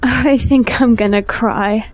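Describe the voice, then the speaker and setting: A woman plays a polite young family friend in an audio drama. Her voice is fairly high, and she comes across as tender-hearted and sincere. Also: takes it slowly. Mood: sympathetic.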